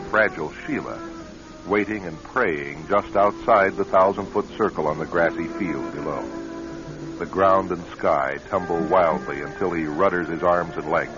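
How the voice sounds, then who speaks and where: A man giving dramatic scripted narration for a suspense radio drama in a solemn, portentous manner. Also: low voice. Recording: muffled radio recording. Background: dramatic music.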